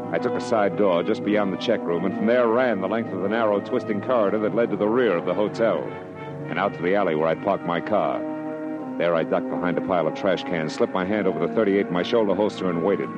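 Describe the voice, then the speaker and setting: A man's voice: fairly low pitch, natural voice. An actor playing a wisecracking hardboiled private detective in a classic radio drama, speaking and narrating in wry, slangy tough-guy style. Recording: dull old radio recording with music behind.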